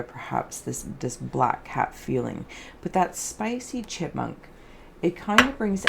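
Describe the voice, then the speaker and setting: A woman hosting an improvised spiritual card and scrying reading, soft-spoken, with a dreamy, meandering, alliterative way of talking. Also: a bass voice, creaky, a little hiss or hum. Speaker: host, tarot and scrying reader.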